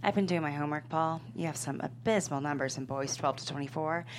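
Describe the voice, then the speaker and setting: A woman acting a tough, wry, persuasive dealmaker in a script table read, assertive and creaky-voiced. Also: low voice, quick pace, close to an everyday voice. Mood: assertive, sly. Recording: faint background hum.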